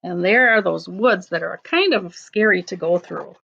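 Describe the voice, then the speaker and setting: A woman teacher reading a storybook aloud to children, in simple phrases with deliberate pauses. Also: lowish voice, creaky, a bit bright, muffled recording. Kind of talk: storybook read-aloud with explanatory asides.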